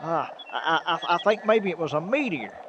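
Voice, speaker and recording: An actor playing a folksy, excitable manure hauler with a Southern US accent, talking to himself in a radio comedy. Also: male voice, fairly high pitch, brisk. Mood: alarmed. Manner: folksy, exclamatory, talks to himself. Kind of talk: old-time radio comedy acting. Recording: noisy radio-play recording.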